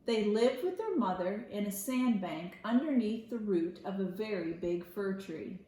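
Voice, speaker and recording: A woman reads a picture book aloud for young children in an expressive storytelling style. Her phrasing is deliberate, with simple asides to explain the tale. The room rings with a clear echo around her voice. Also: mid-range voice. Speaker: school media specialist reading aloud to children. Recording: echoey room.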